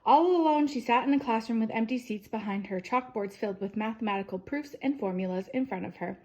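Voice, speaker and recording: A woman reading a children's picture-book biography aloud as a storyteller, with deliberate phrasing, emphatic sentence starts and softly falling endings. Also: on the high side.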